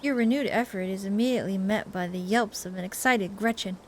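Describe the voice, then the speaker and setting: A woman gives chatty, expressive commentary on a game playthrough and reads its text aloud in a casual way. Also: medium pitch, brisk, slight background noise. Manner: chatty, expressive reactions and asides. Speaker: video game streamer.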